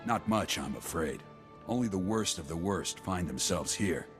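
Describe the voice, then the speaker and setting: Blunt prison officer, swinging intonation. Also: male voice, sits low, expressive, moderate pace, over music. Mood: blunt, wary. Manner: blunt, matter-of-fact, cautioning. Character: gruff, businesslike male prison station officer. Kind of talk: scripted video game dialogue.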